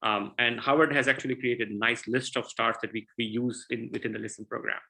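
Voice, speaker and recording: Bright-voiced Indian-accented guest scientist. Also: male voice, mid-range voice, fairly even intonation, fairly quick. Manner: earnest, restarts sentences often. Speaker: guest researcher. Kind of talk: explaining science in a Q&A.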